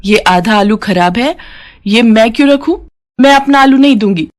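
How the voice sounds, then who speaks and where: A woman's voice: fairly high pitch, moderate pace, natural voice. A woman voicing a proud working daughter-in-law, indignant and childishly argumentative, in a family story.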